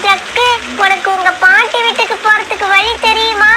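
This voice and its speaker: Tiny, very high-pitched young bird. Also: female voice, fairly even intonation, normal speed. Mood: worried. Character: small young bird, pleading, worried, sensible friend. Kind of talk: animated children's story voice acting. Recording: noisy track with loud rain ambience.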